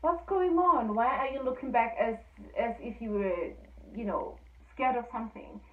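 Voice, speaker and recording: A woman voicing a sly, smooth-talking male fox in a folk tale, coaxing in tone. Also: fairly high pitch, lightly acted. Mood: uneasy. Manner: coaxing, then hurried when fleeing. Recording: echoey, distant, phone-like sound.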